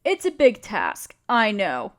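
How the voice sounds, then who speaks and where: A woman's voice: medium pitch, wildly swooping pitch, slow pace, fairly bright tone, full character voice. A woman playing an earnest, caring necromancer in a scripted audio roleplay, speaking directly to the listener in a conversational, reassuring way. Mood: earnest, eager. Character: earnest, caring female necromancer with a grand plan.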